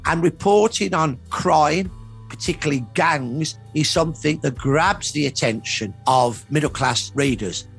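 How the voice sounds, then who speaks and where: A man's voice: a little high, unhurried, creaky, fairly bright tone, husky, soft background music. A middle-aged or older man speaking as a historian in a documentary interview, explaining in emphatic, short repeated phrases.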